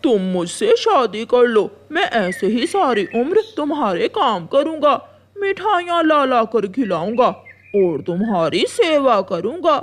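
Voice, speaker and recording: Woman voicing slow, high, scheming cartoon crow husband. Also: sing-song. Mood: flirtatious, coaxing. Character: smooth-talking, bossy male crow husband, flirtatious and scheming. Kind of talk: Hindi cartoon story acting.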